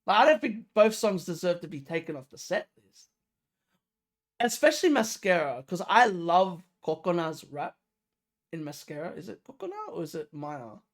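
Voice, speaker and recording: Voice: mid-range voice, very animated, moderate pace. A reaction video host giving casual, slangy music commentary, emphatic and repetitive, often throwing out rhetorical questions. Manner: informal, slangy.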